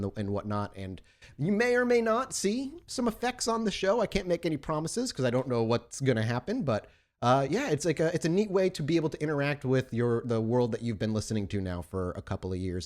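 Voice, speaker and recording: A man's voice: lowish voice, a little mellow. A man casually and enthusiastically chatting as the host of a tabletop roleplaying podcast. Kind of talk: casual podcast intro chat.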